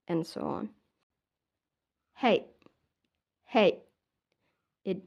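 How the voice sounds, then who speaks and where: A woman's voice: lowish voice, a little mellow. A young woman from Myanmar with a Burmese accent, teaching her language in a casual lesson and patiently saying each word twice.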